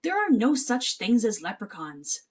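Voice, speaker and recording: A woman voicing a brisk, dismissive uncle mouse in a storybook, jolly but scolding and scoffing. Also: on the high side, very animated, husky, exaggerated voice. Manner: blustering, scoffing.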